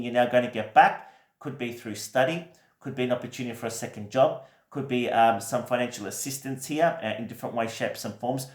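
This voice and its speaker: Croaky man, tarot reader, reassuring and gentle, mid-pitched voice, echoey room. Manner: gentle, reassuring, frequent fillers and "right?". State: croaky from a cold. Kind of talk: weekly tarot reading to camera.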